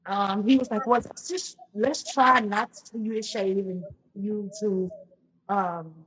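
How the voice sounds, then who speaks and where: A woman's voice: medium pitch, slow pace, fairly bright tone, slight background noise, poor audio. A woman, a podcast guest telling her life story in a chatty way, full of ums and restarts. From Louisiana, US. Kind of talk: casual storytelling interview.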